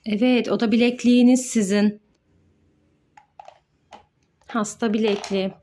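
A woman's voice: a little high, steady tone, a little slow, dark tone, a little hiss or hum. A woman playing with her child, narrating toy doctor play in a sing-song, encouraging way and explaining each step.

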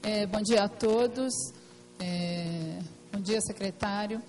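A woman with a hoarse, partly lost voice, giving a hesitant technical presentation at a council meeting, full of fillers and restarts. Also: medium pitch, slow pace, a little mellow, soft background music. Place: meeting room at a plenary session.

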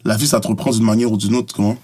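A man's voice: fairly low pitch, little pitch movement, rapid-fire, darkish tone, breathy edge. A man from Montreal with a Quebec French accent, talking bluntly and emphatically as a rapper guest in a studio podcast, often adding tu comprends and bro. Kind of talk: casual podcast conversation.

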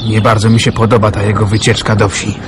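An actor playing an earnest wartime suitor in a radio drama, hesitant and husky. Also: male voice, on the low side, monotone, fast, very noisy, close to an everyday voice. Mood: tender, teasing. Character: earnest, teasing man in love, wartime suitor.

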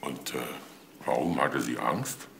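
A man's voice: rumbling low, slow, creaky, over music, full character voice. An actor playing a male police detective in a crime drama, questioning in a dry, probing manner.

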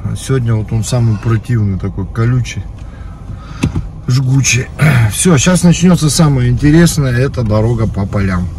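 A man's voice: medium pitch, normal speed. A middle-aged man with a lingering cough, driving and chatting casually, swearing now and then, filmed by a dashboard camera inside a moving car. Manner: swears casually, loose storytelling. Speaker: vlogger driving. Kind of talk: casual chat while driving. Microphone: camera mounted on the dashboard. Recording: loud road noise in car.

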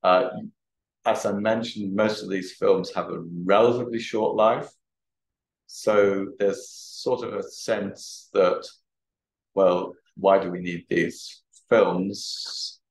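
A man giving an academic talk and answering questions, speaking haltingly and often repeating words. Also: low voice, fairly even intonation. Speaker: guest lecturer. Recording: roomy, echoey sound.

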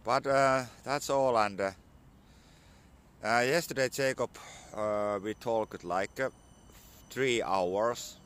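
A man in his forties with a Finnish accent, a bit hoarse from a cold, talking in halting English with many fillers into a phone held at arm's length outdoors. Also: mid-range voice, a bit bright. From Finland. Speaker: vlogger. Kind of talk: casual outdoor vlog talk. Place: outdoors on a sunny spring morning.

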